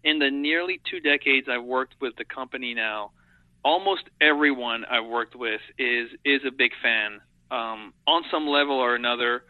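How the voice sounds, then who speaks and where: A man's voice: medium pitch, no room sound. Middle-aged guy, french first language, earnest and reflective, dry tinny phone line. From Europe and Africa. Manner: earnest, reflective, thinks aloud in long sentences. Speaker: guest, animation editor. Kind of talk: casual interview talk.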